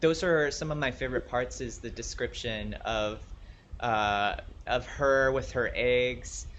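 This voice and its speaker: A man's voice: medium pitch, unhurried, fairly bright tone, muffled recording, slight background noise. Chatty, effusive man hosting a podcast. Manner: effusive, informal, emphatic. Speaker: podcast host and interviewer. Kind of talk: casual chatty interview.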